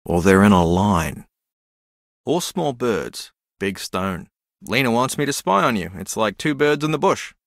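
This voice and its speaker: A man's voice: on the low side, sing-song, measured pace, no echo, studio quality. An actor playing a dreamy, easily confused young man in a video game, earnest, naive and meandering as he muses.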